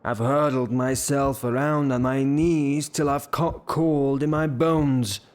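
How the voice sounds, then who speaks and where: An actor playing a blustering, lovestruck, hot-tempered gentleman landowner in an audio drama, with a halting, theatrical delivery. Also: medium pitch, steady tone, close to an everyday voice. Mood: exasperated. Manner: theatrical, halting, repeats himself.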